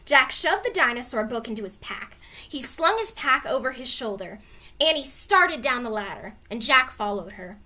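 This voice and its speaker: Casting: female storybook narrator, very lively melodic delivery, high voice, expressive and dramatized. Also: moderate pace. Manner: expressive, clearly dramatized reading. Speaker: storybook reader. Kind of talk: animated children's read-aloud narration.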